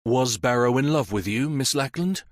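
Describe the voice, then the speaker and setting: A man voicing a calm, methodical police inspector who questions in a measured, polite way. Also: mid-range voice, no room sound, clean studio sound, pitched above the performer's own voice, natural voice.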